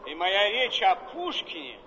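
An actor playing an aging gentleman writer who reminisces with expansive theatrical flourish, recorded in a reverberant hall. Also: high-pitched, huge pitch swings, unhurried, heavily acted. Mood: animated, courtly. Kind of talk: theatrical stage acting. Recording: reverberant hall, faint background noise.

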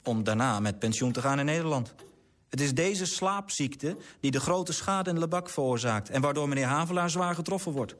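An actor playing an earnest young man who tells a sorrowful tale in a measured radio play manner. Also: a little high, expressive, a bit bright, no echo.

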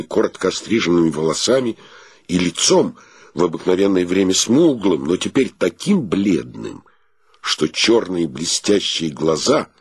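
An actor narrating a wry comic period tale in a radio play, in a measured, dramatic storytelling manner. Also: male voice, deep voice, breathy edge, no room sound, full character voice.

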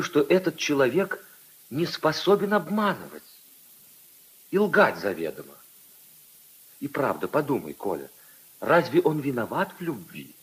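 An actor playing a compassionate, thoughtful prince in a 1950s radio drama, speaking in a reflective, emotive way. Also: male voice, on the high side, husky, heavily acted, poor audio. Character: compassionate prince, thoughtful, gentle mediating husband.

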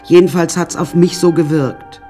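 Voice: mid-range voice, fairly even intonation, normal speed, dark tone, soft background music, natural voice. An actor playing an imperious, eccentric older woman painter in a radio drama, haughty and theatrical, addressing the listeners directly. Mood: wry reminiscing.

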